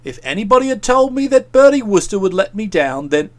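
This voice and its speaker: A man voicing an aggrieved, aloof young English gentleman in a storybook, speaking with cold, pompous sarcasm. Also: sits high, big pitch swings, brisk. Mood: cold, reproachful.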